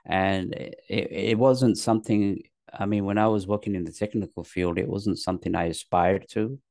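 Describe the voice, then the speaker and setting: A middle-aged man from Pakistan, raised in England, telling his story as a guest in a casual interview, rambling and halting with many fillers and restarts. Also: fairly low pitch, steady tone, gravelly, darkish tone, dry recording, studio quality. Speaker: guest, actor and comedian.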